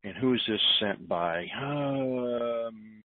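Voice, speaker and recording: A man hosting an informal conference call in a halting way, talking and reading aloud over the telephone. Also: mid-range voice, no room sound. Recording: thin, narrow phone line.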